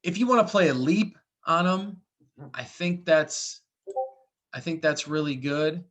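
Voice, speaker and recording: A man's voice: a little high, animated, unhurried, fairly bright tone. Man talking informally through live market commentary. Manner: conversational, repeats and rephrases as he explains. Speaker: trading call host, trader.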